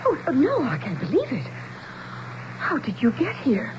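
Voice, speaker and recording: An actress playing an excitable, awestruck young waitress in a radio drama, with a gushing, breathless and pleading delivery. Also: female voice, high-pitched, normal speed. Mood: awed, overjoyed. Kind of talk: 1970s radio drama acting. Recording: dull radio broadcast with faint noise.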